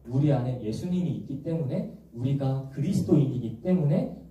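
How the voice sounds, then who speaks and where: A young man preaching to a church youth group in a gentle, explanatory way, often asking the congregation questions. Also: medium pitch, slight background noise. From Busan, South Korea. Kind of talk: sermon. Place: large echoey church hall. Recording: echoey church hall from far off.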